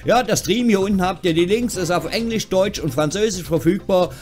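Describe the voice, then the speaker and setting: A man's voice: sits high, fairly quick, a little mellow. A man giving casual, tongue-in-cheek gaming news in a chatty, wry, informal way. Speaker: host of a gaming news show. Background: background music.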